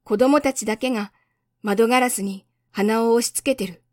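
A woman's voice: fairly high pitch, normal speed, a little mellow, clean studio sound, natural voice. Woman doing a tired railway switchman guy, blunt, matter of fact, storybook style, no room echo. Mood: resigned. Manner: blunt, plain-spoken explaining. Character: matter-of-fact male railway switchman, world-weary worker. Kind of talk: storybook reading.

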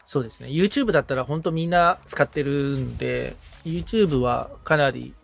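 A man's voice: mid-range voice, normal speed, vocal fry. A man chatting casually but politely as a podcast assistant, agreeable and often chiming in with acknowledgements. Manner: agreeable, frequent backchannels.

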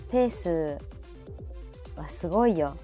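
A woman's voice: lowish voice, animated, takes it slowly, dark tone. A woman hosting a live talk in casual chat, attentive and often chiming in with short listening sounds. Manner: attentive, frequent backchannels. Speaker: host of a live audio talk show. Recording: background music under the voice.

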